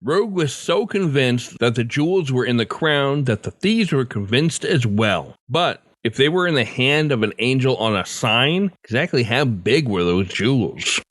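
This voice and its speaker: A man's voice: medium pitch, expressive, normal speed, few pauses, a bit bright, no room sound, clean studio sound. A man hosting a podcast, reading scripted commentary and narration. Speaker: podcast host.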